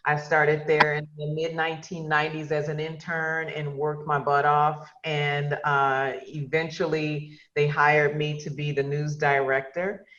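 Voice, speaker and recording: A woman's voice: sits low, flat delivery, measured pace, hardly any pauses, fairly bright tone, lo-fi sound. A woman from Chicago, a radio host and podcaster, telling her career story with enthusiasm on a video call. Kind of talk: casual video call chat.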